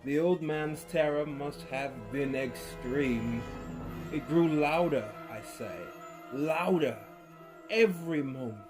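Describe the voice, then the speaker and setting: Guy reading as a feverish madman, slow and creepy, hushes then gets loud, faint music behind. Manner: theatrical, swinging from hushed murmurs to loud outbursts, with low chuckles. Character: unhinged male murderer narrator, feverish and gloating. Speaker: narrator reading a horror tale. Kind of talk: dramatic storytelling reading.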